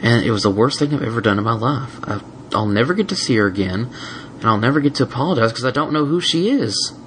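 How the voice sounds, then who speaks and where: Haunted ex-brute pony stallion, read by a man, halting and stammering, faint hiss. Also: medium pitch, animated, fairly bright tone, lightly acted. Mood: confessing. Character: tormented male pony, ashamed former brute.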